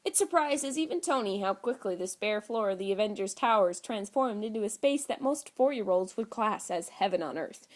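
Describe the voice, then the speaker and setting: A woman's voice: medium pitch, lively intonation, normal speed, few pauses. A woman reading scripted fan fiction aloud as an audiobook narrator. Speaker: audiobook reader.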